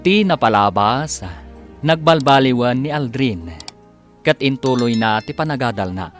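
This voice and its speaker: Male radio drama narrator: deliberate, very animated announcer style, music underneath. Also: medium pitch.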